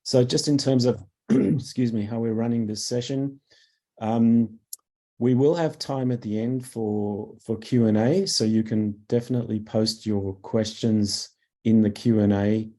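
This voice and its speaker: A man with a mellow, somewhat low voice leads an online product webinar. He sounds croaky from a cough and keeps clearing his throat. He talks in halting bits full of "uh" and "um", speaking into a desk mic. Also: fairly even intonation. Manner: halting, many fillers, clears throat often. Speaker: product manager presenting a webinar. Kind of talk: webinar presentation.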